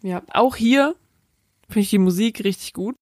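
A woman's voice: medium pitch, moderate pace, a little mellow, dry recording, studio quality. Enthusiastic young woman chatting about films. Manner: enthusiastic, laughs often, slangy. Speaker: podcast co-host. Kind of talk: casual film chat.

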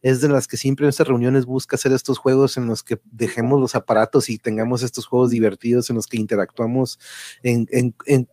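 A man's voice: mid-range voice, flat delivery, brisk, dark tone, no echo. Casual Mexican man hosting, close dry sound. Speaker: host. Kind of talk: casual chat.

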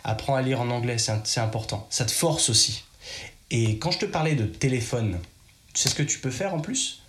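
A man from France talks straight to the listener in a chatty, direct monologue. His voice sits at an ordinary middle pitch. The room around him echoes noticeably. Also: brisk, fairly bright tone. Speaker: podcast host. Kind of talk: casual advice monologue.

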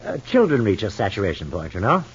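A man's voice: lowish voice, wildly swooping pitch, quick pace, a little hiss or hum. A man playing a stiff, superior husband and father in a 1950s radio soap opera, speaking formally and pompously. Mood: cool, measured. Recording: muffled old radio recording.

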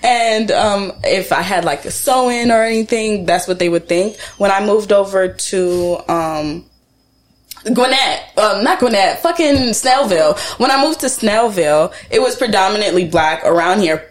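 A woman's voice: mid-range voice, normal speed. A young woman hosting a podcast, chatting casually, laughing often and swearing now and then. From Jamaica. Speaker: podcast host. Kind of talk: casual chat.

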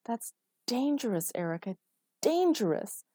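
A woman's voice: a little high, expressive, normal speed, gravelly, warm tone, husky, no echo, studio quality, voice pushed up, heavily acted. A woman voicing an angry, controlling but protective and exasperated man in a dramatic story reading.

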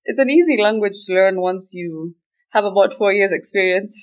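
Woman in her twenties from Kenya, interview guest, relaxed, laughs a lot, middle pitch. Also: moderate pace. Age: 20s. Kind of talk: casual interview.

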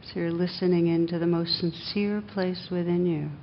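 A woman meditation teacher leading a guided meditation in a gentle, unhurried manner with long pauses, on a dull recording. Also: flat delivery. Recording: dull, muffled sound with faint rumbling noise.

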